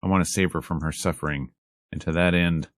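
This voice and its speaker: A man reading a visual novel aloud as a let's player, in measured, dramatic storytelling narration over game music. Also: low voice, steady tone, dry recording, studio quality.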